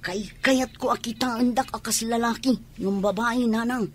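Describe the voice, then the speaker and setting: A woman's voice: a little high, steady tone, normal speed, a little hiss or hum, lightly acted. A woman playing a defiant tomboy daughter pleading with her mother in a Filipino radio drama.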